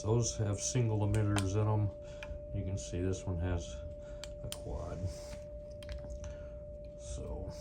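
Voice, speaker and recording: Male reviewer, very low voice, casual demo delivery, plain-spoken, loud hum behind him. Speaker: product reviewer demonstrating lights. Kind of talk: casual hands-on demonstration narration. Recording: loud steady humming noise behind voice.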